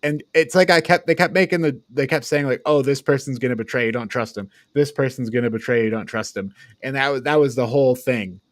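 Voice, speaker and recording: A man's voice: medium pitch, fairly quick, hardly any pauses, no echo, clean studio sound. A man hosting a casual film discussion, talking in a critical, exasperated way with mocking asides. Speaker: podcast host leading a film discussion. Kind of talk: casual review chat.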